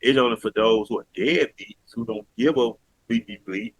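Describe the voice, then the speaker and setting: A man's voice: medium pitch, lively intonation, normal speed, gravelly, breathy edge, dry recording. A man from Alabama with a Southern accent, talking earnestly and emphatically as a podcast guest. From Mobile, Alabama, US. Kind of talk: casual interview talk.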